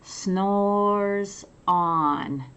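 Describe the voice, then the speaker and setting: A woman reading a children's picture book aloud with drawn-out, emphatic, expressive storytelling. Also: medium pitch, slight background noise.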